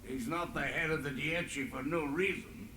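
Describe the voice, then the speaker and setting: An actor playing a gruff, unruffled comrade in a fantasy game, with a gravelly voice. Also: male voice, fairly high pitch, moderate pace, sharp tone, echoey room, a little hiss or hum. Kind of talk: dramatic fantasy game voice acting.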